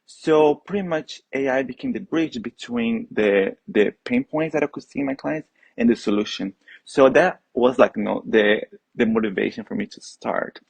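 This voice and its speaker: Husky Brazilian man, eager guest. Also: mid-range voice, normal speed, no room sound. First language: Portuguese. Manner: eager, restarts often. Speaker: guest, HubSpot trainer. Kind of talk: casual interview chat.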